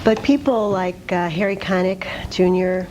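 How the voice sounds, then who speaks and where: A woman who directs a dance troupe, answering interview questions with earnest enthusiasm and small pauses. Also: fairly low pitch, mellow, slight background noise.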